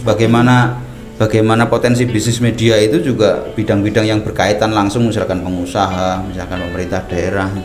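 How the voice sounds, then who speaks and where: A middle-aged man from Banyumas talks at ease as a podcast guest, his low voice drifting through fillers and restarts. The room rings with echo, and music plays clearly underneath. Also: fairly quick. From Purbalingga, Banyumas, Central Java. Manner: relaxed, talkative, frequent fillers and restarts. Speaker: media director, podcast guest. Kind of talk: casual podcast interview.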